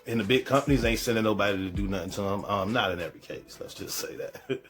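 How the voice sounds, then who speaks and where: A man's voice: lowish voice, fast talker, a bit bright. A man hosting a reaction show, giving casual, slangy commentary and repeating phrases for emphasis.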